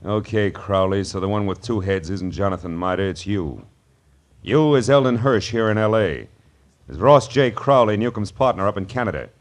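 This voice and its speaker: An actor playing a wry, tough private detective in a 1940s radio crime drama, sardonic and hard-boiled. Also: male voice, on the low side, expressive, normal speed, lightly acted.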